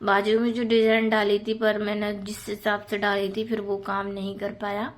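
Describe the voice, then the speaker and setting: A woman in her thirties with a hoarse, sore throat, chatting casually to viewers about her housework in a voice-over. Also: medium pitch, monotone, moderate pace. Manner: chatty, many fillers, addresses viewers as friends. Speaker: home vlogger.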